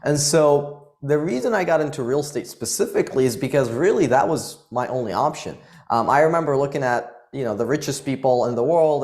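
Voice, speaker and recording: A man's voice: mid-range voice, darkish tone. A man from Iraq, a guest on a podcast, telling his life story in an earnest, driven way. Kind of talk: casual interview talk.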